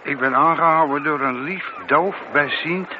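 An actor in a radio play voicing a mild, matter-of-fact police sergeant who is deferential but politely doubting. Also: male voice, on the high side, moderate pace, natural voice. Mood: matter-of-fact, reporting. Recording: muffled, noisy lo-fi radio drama recording.

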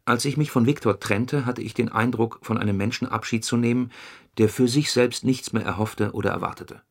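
A man's voice: mid-range voice, a little fast, close to an everyday voice. An actor playing a sober, analytical political functionary reciting his memoir in a radio play. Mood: sober, reflective. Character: sober communist functionary recollecting a comrade in secret work.

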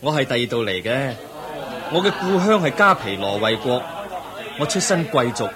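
An actor playing a gentle, humble young prince who speaks softly and politely in a radio play. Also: male voice, medium pitch, sing-song, moderate pace, crowd noise, lightly acted. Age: young adult. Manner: polite, soft-spoken, modest. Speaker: young noble wanderer.

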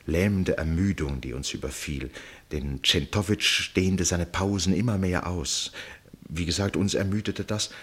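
An actor playing an absorbed first-person narrator in a literary radio play, measured and suspenseful, with deliberate pauses and a husky voice. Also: male voice, low voice. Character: absorbed male first-person narrator watching a tense match.

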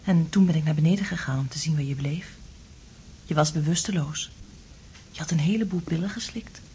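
An actress in a Dutch radio play playing a caring, calm woman with a breathy, husky voice. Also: deep voice, a little fast, a little hiss or hum, close to an everyday voice, poor audio. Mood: soothing, grave. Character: caring, calm woman soothing a troubled man.

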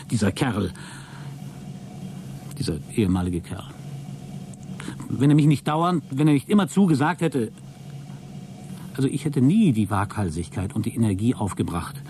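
An actor playing a deluded, exhausted astronaut who keeps a logbook in a radio play, halting, self-justifying and grandiose. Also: male voice, mid-range voice, mellow, slight background noise, natural voice. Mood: agitated, fanatical. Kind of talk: dramatic radio play monologue.

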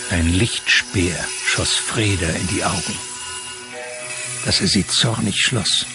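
A man's voice: sits low, slow, creaky. A man reading literary prose as the narrator of a dramatic audio piece. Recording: music under the voice. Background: music.

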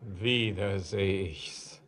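An actor playing an ancient, weary, ghostly old miner, grimly brooding in halting, poetic radio drama speech with a husky, creaky voice. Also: male voice, low voice, steady tone, fairly bright tone, full character voice. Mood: brooding, remembering. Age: older. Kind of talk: slow, poetic German radio drama acting.

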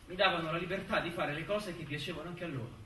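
Defensive young man in a courtroom stage play, short guarded answers, highish voice, far from the mic. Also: fast talker, sharp tone. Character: defensive, stubborn young male witness under questioning. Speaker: stage actor. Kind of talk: theatrical courtroom drama acting. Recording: roomy, distant stage recording with faint noise.

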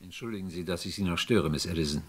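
An actor playing a courteous but insistent police inspector in a vintage German radio crime drama, measured and probing. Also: male voice, low-pitched, over music, natural voice.

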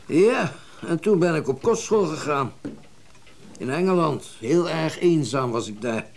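An actor playing a wealthy, well-bred middle-aged collector in a radio drama, speaking in a genteel, reflective way with hesitant pauses. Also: male voice, on the high side, animated, gravelly, a little hiss or hum. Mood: confiding. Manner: genteel, reflective, hesitant pauses, old-fashioned turns of phrase. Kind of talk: naturalistic radio drama dialogue.

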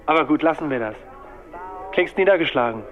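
Through a narrow, tinny phone line comes a chummy, prying drinking buddy in a radio drama. His voice is on the high side, with faint music underneath. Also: animated, fairly quick. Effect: telephone filter.

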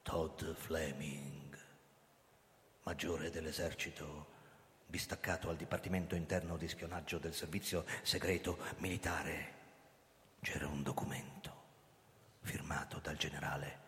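A man's voice: a bass voice, fairly bright tone. An actor playing a desperate hunted man who narrates his own story theatrically in a radio thriller. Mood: hushed, grim. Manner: intense, dramatic, emphatic repetitions. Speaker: actor, lead character and narrator. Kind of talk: theatrical first-person radio drama, narration and dialogue. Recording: long reverberant hall sound.

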